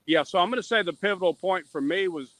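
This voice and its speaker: Higher-voiced man giving candid testimony. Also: quick pace, a bit bright, slight background noise. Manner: earnest, circles back over the same point with repetition. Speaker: podcast guest telling his own story. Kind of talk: candid personal testimony in conversation.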